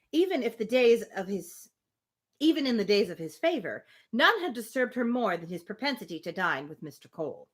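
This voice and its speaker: A woman hosting a live reading of a classic novel, reading expressively with casual, amused asides. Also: medium pitch. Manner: expressive reading, breaks off for informal amused commentary. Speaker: host reading a novel aloud on a livestream.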